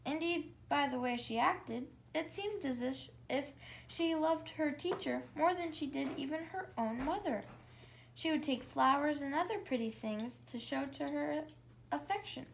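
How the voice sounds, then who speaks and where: High-voiced woman reading a children's story. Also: a little slow, vocal fry. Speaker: children's story reader and host. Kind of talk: read-aloud bedtime story for children. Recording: faint background noise.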